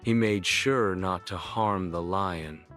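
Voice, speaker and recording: Male narrator for bedtime tale: low voice, unhurried, solemn, formal, soft music bed. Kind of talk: scripted bedtime story narration.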